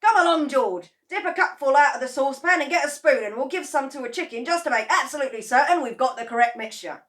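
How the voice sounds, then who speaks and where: A woman voicing an excitable, loud, middle-aged farmer father who shouts orders frantically. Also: high-pitched, takes it slowly, few pauses, pitched above the performer's own voice, heavily acted. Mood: frantic.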